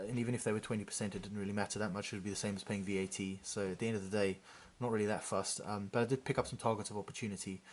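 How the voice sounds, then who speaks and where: A man, somewhat low-pitched, talks through his hobby haul in a loose, rambling way. He restarts sentences often and scatters "um" and "uh" throughout. His voice picks up when he holds figures to the camera. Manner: rambling, many fillers and restarts; gets livelier when showing figures up close. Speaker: hobby channel host. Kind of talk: casual chat about miniature figures.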